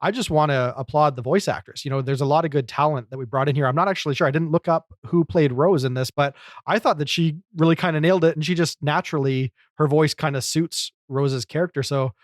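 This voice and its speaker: A man's voice: a little high, fast talker, no room sound, studio quality. A man co-hosting a podcast, talking casually and at length in a chatty, explanatory way. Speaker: podcast co-host. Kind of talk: casual discussion and commentary.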